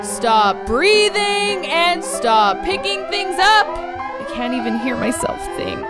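A woman's voice: very high-pitched, huge pitch swings, slow pace, loud music behind the voice. A woman's prerecorded correspondent report in a synthetic voice, ranting in exasperation with swearing and mock sobbing. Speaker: remote correspondent.

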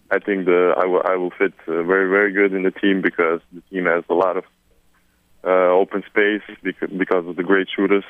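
A young man from Serbia answers questions in English on a conference call, stopping and restarting his phrases. His voice sits a little low and comes through a thin, dry phone line. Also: no room sound. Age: 20s. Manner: halting, many repeated words. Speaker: drafted basketball player.

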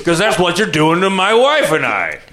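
A man's voice: sits high, huge pitch swings, normal speed, pushed and bright, exaggerated voice. A man performing as a smug, demanding customer, theatrical and sardonic, in improvised radio theater. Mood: mocking.

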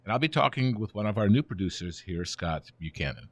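A man in his seventies, the show's regular presenter, telling history in a measured, conversational way that trails off at phrase ends. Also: fairly low pitch, animated. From born in Germany, raised in the United States. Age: 70s. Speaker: church president and podcast guest. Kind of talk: relaxed conversational interview.

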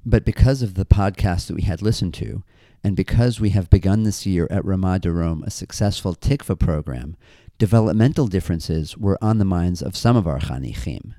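A man's voice: sits low, normal speed, mellow, no echo, clean studio sound. A man hosting a camp podcast, reading scripted narration between segments. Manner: reads from a script.

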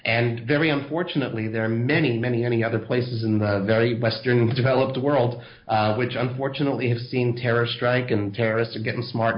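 American man, hesitant, roomy muffled audio. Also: medium pitch. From United States. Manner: hesitant, full of fillers and restarts. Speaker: interview guest. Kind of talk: casual interview answers.

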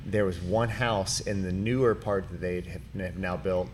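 Low-pitched American male podcast host, faint noise. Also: steady tone, moderate pace. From Cincinnati, Ohio, United States. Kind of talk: casual conversational interviewing.

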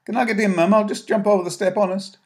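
A man voicing a frightened young boy, high and pleading. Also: steady tone, fast. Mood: nervous. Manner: pleading, anxious, high-pitched. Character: frightened young working-class boy thief.

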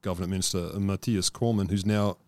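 An Australian man co-hosting a political podcast, sarcastic and deadpan in his jabs. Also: low voice, quick pace, no echo. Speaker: podcast co-host. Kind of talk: casual political chat.

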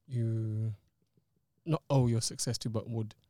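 A man's voice: lowish voice, fairly even intonation, slow pace, mellow, dry recording, studio quality. A man speaking as a podcast panellist in casual chat.

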